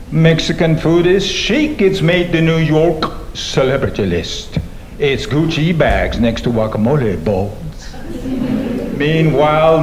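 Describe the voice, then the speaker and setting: Male poet in his 60s, animated rise and fall in pitch, roomy echo on the stage mic. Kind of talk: live poetry reading. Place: meeting hall with audience. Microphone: stand microphone. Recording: echoey room with background noise.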